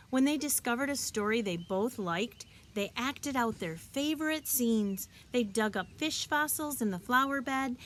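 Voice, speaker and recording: A woman reading a children's picture book aloud, expressively and deliberately, as a teacher would for young listeners. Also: high voice, fairly bright tone, slight background noise. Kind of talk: children's storybook read-aloud.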